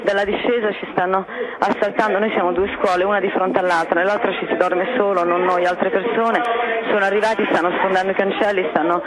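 Female eyewitness caller, urgent and alarmed, very quick delivery, crowd noise behind the phone line. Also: medium pitch, steady tone. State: alarmed, under pressure. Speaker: eyewitness phoning a radio station. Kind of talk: urgent live phone report.

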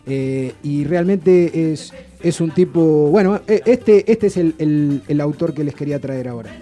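A man's voice: a little high, a little slow, warm tone. A man chatting on a radio show, telling rambling stories full of restarts. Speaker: radio guest presenting authors. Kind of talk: casual radio chat. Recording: radio studio with faint background music.

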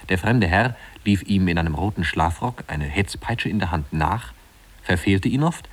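A man with a very low voice narrates an old radio play. He delivers scripted literary prose as a storyteller. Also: husky.

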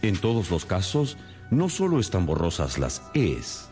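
An actor playing a shrewd, stern detective in a radio drama, speaking in a deliberate, theatrical, expository way. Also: male voice, deep voice, huge pitch swings, darkish tone, faint music behind, exaggerated voice. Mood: confident, demonstrative.

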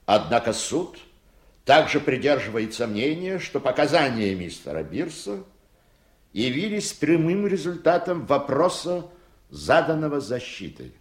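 An actor playing a stern judge in a radio play, speaking formally and with measured weight. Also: male voice, medium pitch, sing-song, echoey room. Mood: solemn. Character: stern, deliberate male judge presiding in court. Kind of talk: Soviet radio play acting.

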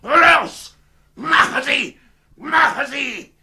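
An actor playing a desperate, domineering ship's captain in a 1950s German radio drama, agitated, barking orders and stammering repetitions. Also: male voice, very high voice, extremely slow, bright, forceful tone, exaggerated voice. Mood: desperate, commanding. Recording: noisy 1950s radio recording.

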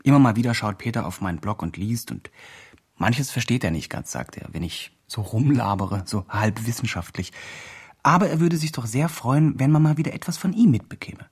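Give a radio drama voice of a man who mentors others with gushing earnestness. He has a mid-pitched, slightly husky voice and talks in patronising, encouraging therapy-speak. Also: animated, moderate pace. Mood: earnest, reflective. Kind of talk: radio drama, dialogue and reflective narration.

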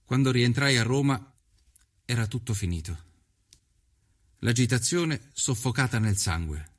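An actor playing an earnest Italian journalist of the 1890s, narrating his inquiry in a measured, literary first person. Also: medium pitch, lively intonation. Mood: reflective, somber.

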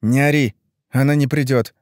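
A man's voice: mid-range voice, fairly even intonation, normal speed, no echo, clean studio sound, voice pushed up, lightly acted. A man voicing an exasperated, defiant man who snaps at his relatives with irritated emphasis, in an audiobook story. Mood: firm, commanding.

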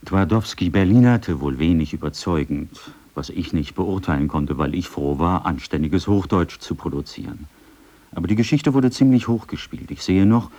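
A man's voice: sits low, mellow. A man reading first-person accounts and quotations from a script for a radio feature.

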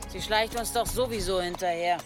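A woman's voice: medium pitch, brisk, music in the background, lightly acted. A woman playing a tough, dry-humoured demon-fighting wanderer in an audio drama, speaking bluntly and with command. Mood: dry, stern.

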